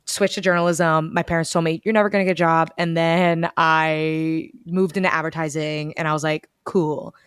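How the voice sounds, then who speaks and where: A young woman from the United States, talking casually as an interview guest over a video call, telling stories with lively quoted asides. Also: low-pitched, normal speed, hardly any pauses, clean tone, no room sound, clean studio sound. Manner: chatty, animated storytelling with quoted asides. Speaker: bookstore events director, guest.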